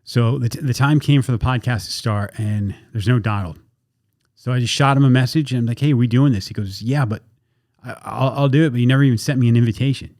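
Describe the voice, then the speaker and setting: A man's voice: medium pitch, a little mellow, no echo. Chatty man hosting a podcast, dry sound. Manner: chatty, halting, repeats words. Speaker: podcast host. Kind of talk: casual podcast intro and interview. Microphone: video call.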